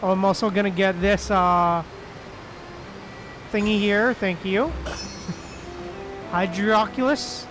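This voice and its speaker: A man chatting casually as he livestreams a game, with hesitant, drawn-out phrasing. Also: high voice, fairly even intonation. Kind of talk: casual livestream chat while playing. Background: game music.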